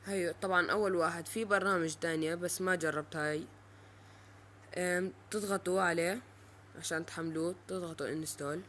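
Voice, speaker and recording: A male presenter giving an informal, halting step-by-step app tutorial. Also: fairly high pitch, steady tone, sharp tone, slight background noise.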